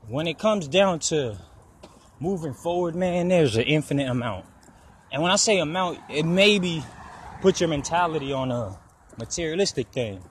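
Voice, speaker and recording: A man in his twenties talking casually for his podcast while walking outdoors by a road, rambling and swearing freely. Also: a little high. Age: 20s. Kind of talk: casual personal monologue. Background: passing traffic.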